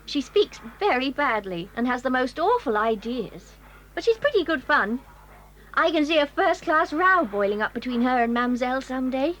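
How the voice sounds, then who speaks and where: A woman's voice: sits high, very animated, fairly bright tone, slight background noise, rough recording. A woman with an English accent playing a friendly, gossipy boarding-school girl in a children's audio drama. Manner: brisk, gossipy, exclamatory.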